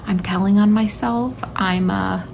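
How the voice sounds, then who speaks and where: Woman, late 30s, fairly low voice, gravelly fry, chatty vlog style, enthusiastic, informal, faint background noise. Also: steady tone, a little slow, darkish tone. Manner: enthusiastic, emphatic, informal address to viewers. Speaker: homeschooling mother and video host. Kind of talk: casual chatty book recommendation vlog.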